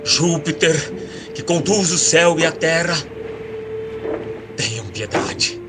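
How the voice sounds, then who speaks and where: A man's voice: fairly high pitch, expressive, slow pace, over music. A man playing an anguished, aging father king who prays solemnly and pleadingly in an epic audio drama.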